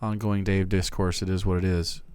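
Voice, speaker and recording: A man streaming, reading fan fiction aloud in a theatrical, comic way, shifting between hushed and loud. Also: sits low, level tone, normal speed, slight background noise.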